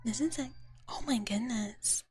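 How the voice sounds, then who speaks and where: A woman whispering softly and breathily in a gentle, doting big-sister manner, chatting as an ASMR livestreamer close to the microphone and often repeating her words. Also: fairly high pitch, unhurried, slight background noise. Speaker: ASMR streamer. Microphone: close microphone, dry sound.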